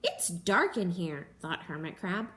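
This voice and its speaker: A young woman, a teacher, reading a picture book aloud to children at home in a gentle, animated storytelling manner. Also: fairly low pitch, a little slow. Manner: gentle, animated storytelling with gasps and character voices. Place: living room at home.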